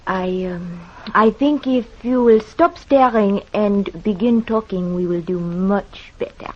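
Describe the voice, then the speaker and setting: Casting: a poised French temptress in radio noir, medium-pitched, unhurried, cool, dull old recording. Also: female voice. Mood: cool, wary. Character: poised, seductive French femme fatale. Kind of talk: 1940s radio drama acting. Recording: muffled vintage radio recording.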